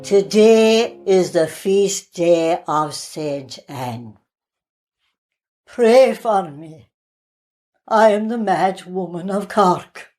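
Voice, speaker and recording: An Irish woman actor playing a haunted, persecuted outcast madwoman in a plaintive, deliberate dramatic poetry reading. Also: fairly low pitch, lively intonation, mellow, soft background music. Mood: haunted, forlorn.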